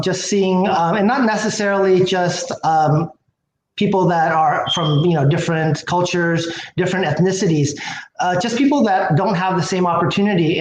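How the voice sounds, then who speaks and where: A man who runs a housing company, speaking as an interview guest, enthusiastic and rambling with many fillers and restarts. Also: high voice. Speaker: company president and CEO, guest. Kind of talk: casual interview talk. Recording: roomy with faint background noise.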